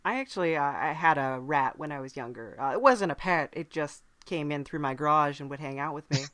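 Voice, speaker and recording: Low-pitched woman hosting a casual podcast. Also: brisk, a bit bright, no room sound. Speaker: podcast host. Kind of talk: casual comedic interview.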